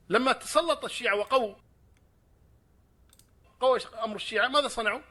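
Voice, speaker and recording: High, fast, bright-voiced orator. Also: male voice. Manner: declamatory, rhetorical questions. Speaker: speaker in a played clip. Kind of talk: formal speech.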